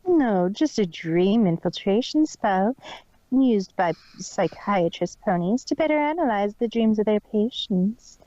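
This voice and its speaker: A scheming, boastful mare villain, acted by a woman, speaks in a mellow, relaxed voice with cool self-satisfaction. She sounds matter-of-fact in this fan fiction audio story, on a dry, close recording that is slightly muffled. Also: mid-range voice, a little slow, dry recording, close to an everyday voice. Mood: smug, bragging. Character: smug, scheming female pony villain, coolly boastful.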